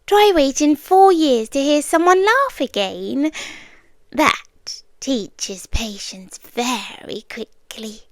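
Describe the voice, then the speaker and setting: A woman plays an immortal cosmic yandere with a British accent and a highish female voice. She speaks very slowly and softly, often slipping into whispers, tender yet possessive. It is an intimate ASMR roleplay, recorded close and dry. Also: no echo. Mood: wistful, tender. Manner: soft, deliberate, often dropping into whispers. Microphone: close microphone.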